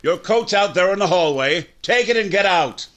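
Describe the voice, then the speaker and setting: An actor playing a cruel, domineering middle-aged father in a 1940s-style radio drama, barking gruff orders. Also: high-pitched, expressive, normal speed, a bit bright, slight background noise. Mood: scornful, stern. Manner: gruff, bullying commands.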